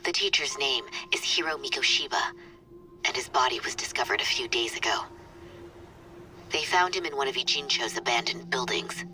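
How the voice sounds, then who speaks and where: A woman playing a serious, composed defense attorney with a measured, businesslike manner in a video game cutscene. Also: rumbling low, sharp tone, heavily acted, rough recording. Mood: grave. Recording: game music under the voice. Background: music.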